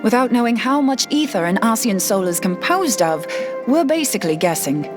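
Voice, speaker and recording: Blunt female scholar, animated intonation, wry, colloquial, fantasy game cutscene, soft music behind. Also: fairly high pitch, normal speed. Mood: matter-of-fact. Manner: plain-spoken, colloquial, wry. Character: blunt, brisk young woman scholar, wryly irreverent.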